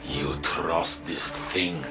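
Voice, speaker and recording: A man playing a stern, distrustful commander in a video game, speaking with grave, deliberate weight. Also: very deep voice, steady tone, over music, full character voice.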